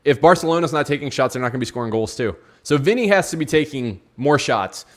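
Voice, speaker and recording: A man's voice: medium pitch, lively intonation, fast. A man chatting casually as a football pundit on a talk show, slangy and matey, repeating points for emphasis. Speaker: football show co-host or guest. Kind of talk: casual football analysis chat.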